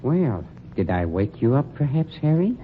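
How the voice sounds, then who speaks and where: A man's voice: mid-range voice, big pitch swings, normal speed, lightly acted. An actor playing a polished, scheming funeral director who talks down to his night man, in 1940s radio drama style. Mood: smooth. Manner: smooth, condescending, sneering. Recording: dull, noisy old radio recording.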